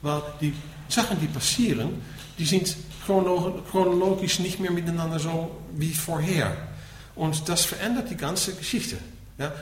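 Dutch-accented man lecturing with lively, swinging intonation. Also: fairly high pitch. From Netherlands. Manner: explanatory, punctuating points with a questioning tag. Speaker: Buddhist teacher. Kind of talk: spoken lecture to listeners. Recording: echoey room with faint background noise.

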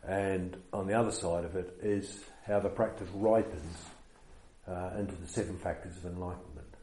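Man, New Zealand accent, very low voice, mellow tone, meditation teacher, echoey room, faint background hum. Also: fairly even intonation. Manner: unhurried, hesitant, many fillers. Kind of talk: dharma talk.

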